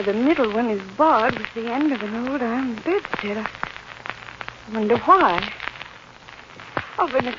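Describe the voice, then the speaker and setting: Anxious young governess, muffled old radio. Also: female voice, a little high. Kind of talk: 1940s radio acting. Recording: very noisy, muffled old-time radio recording.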